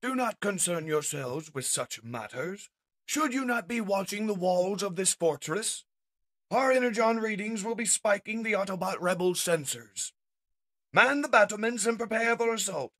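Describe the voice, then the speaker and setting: A man's voice: sits high, expressive, normal speed, pushed and bright, exaggerated voice. A man playing a cruel robot warlord, haughty and commanding, in a theatrical comic dub. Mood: furious, commanding.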